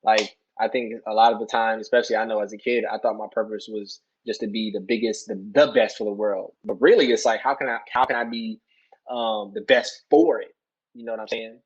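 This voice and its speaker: A man with a husky, slightly rough voice talks casually as a podcast guest. He rambles earnestly, circling back and checking in often. The recording is a bit dull and lo-fi. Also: on the low side, steady tone, a little fast. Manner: rambling, earnest, repeats "you know". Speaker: interview guest, soccer player.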